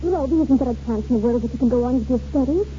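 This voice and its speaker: A woman's voice: high voice, very fast, warm tone, faint music behind, lightly acted. An actress playing a romantic, caring and exasperated young woman in a 1940s radio drama. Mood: exasperated, pleading. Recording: muffled, noisy 1940s radio broadcast.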